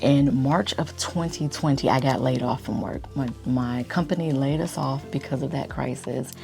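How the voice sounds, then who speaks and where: Woman, low-pitched gravelly morning voice, quiet casual vlog chat, faint background music. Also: normal speed. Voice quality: deep morning voice. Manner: speaking low to stay quiet. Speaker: lifestyle YouTube vlogger.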